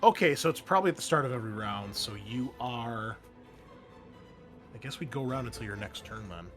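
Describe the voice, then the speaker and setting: A man's voice: medium pitch, animated, fairly quick. A man running a tabletop roleplaying game, talking casually and thinking aloud as he works through the rules. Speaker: game master. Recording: music behind. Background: music.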